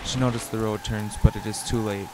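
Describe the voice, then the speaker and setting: A man's voice: lowish voice, little pitch movement, a little fast. A man reading a scripted radio play as narrator in an amateur way, with noisy sound effects behind him.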